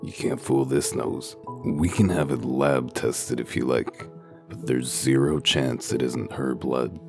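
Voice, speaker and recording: Super low gravelly growl, guy doing a gruff deadpan wolf detective from a comic dub, music behind. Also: takes it slowly, full character voice. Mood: dry, blunt. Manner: deadpan, blunt. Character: gruff male wolf detective, cynical and humorless. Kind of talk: dramatic comic dub acting.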